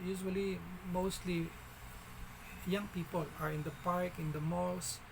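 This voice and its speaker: A man with a Filipino accent telling a story in a casual vlog, halting, with frequent restarts and fillers. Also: sits high, steady tone, creaky, noisy background. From Philippines.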